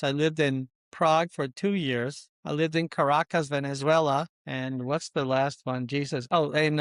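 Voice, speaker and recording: A man in his sixties from Colombia, answering interview questions in deliberate short phrases that often list things. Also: fairly high pitch, fairly bright tone, no room sound, clean studio sound. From born in Colombia, immigrated as a small boy. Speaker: podcast guest, luxury consultant and investor.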